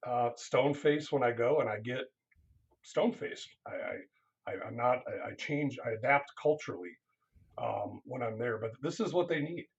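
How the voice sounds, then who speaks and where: A man's voice: mid-range voice, highs cut off. A man, a counseling professor, speaking earnestly in a casual interview, often restarting his sentences.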